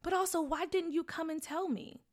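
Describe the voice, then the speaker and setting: A woman's voice: very high-pitched, steady tone, moderate pace, pitched above the performer's own voice. A woman voicing a stern, protective mother who speaks in a firm, scolding manner.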